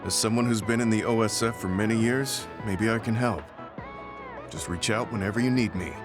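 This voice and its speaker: A kind, weary veteran soldier performed by a male actor for a video game. His voice sits on the low side and he speaks in measured, reflective phrases that carry long guilt. Prominent music plays behind him. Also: natural voice. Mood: earnest, kind. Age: middle-aged. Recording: studio voice under loud game music.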